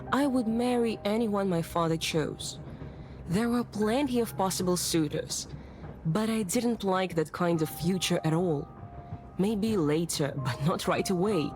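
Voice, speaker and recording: A woman's voice: on the low side, lightly acted. A woman voicing a well-bred, self-assured young lady in a visual novel, speaking in a composed, articulate, formal way. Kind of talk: visual novel voice acting, narrative dialogue. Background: music.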